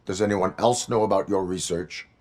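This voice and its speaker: A man voicing a cyborg police officer who speaks in a flat, clipped, formal way. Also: low-pitched, normal speed. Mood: stern. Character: male cyborg police officer, calm and mechanical.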